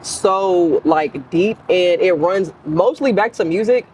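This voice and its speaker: A woman, a guest musician chatting in an animated, emphatic way. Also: on the low side, slow, slight background noise, rough recording. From Louisiana and Houston, Texas. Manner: animated, emphatic, laughs often. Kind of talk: casual interview chat.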